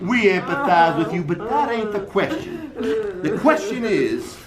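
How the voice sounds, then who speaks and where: A man voicing a smooth-talking male prosecutor, theatrical and falsely sympathetic, in an amateur play reading. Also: high voice, very animated, a little slow, loud music behind the voice. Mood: grandstanding. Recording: echoey room with loud noise.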